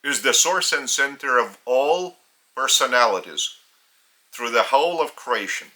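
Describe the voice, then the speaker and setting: A man with a Lithuanian accent delivers a solemn spiritual teaching at a very slow pace. His voice is bright and forceful, at a mid pitch. He speaks in measured phrases broken by long pauses. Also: expressive, low-quality recording.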